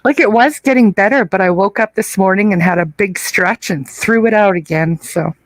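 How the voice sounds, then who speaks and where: A woman's voice: medium pitch, normal speed, no echo, low-quality recording. A woman with an easygoing, giggly manner in a group voice chat. Her mic is dry, with no room sound around her.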